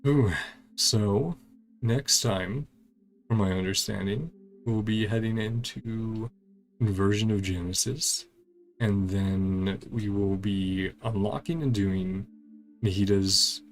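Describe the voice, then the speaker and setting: A man with a low voice and a gravelly, fry-heavy edge hosts a casual game playthrough. He talks in short, clipped fragments, murmuring reactions as he goes. Faint music plays behind him. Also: takes it slowly. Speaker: gaming channel host. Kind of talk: casual game playthrough commentary, reading dialogue along.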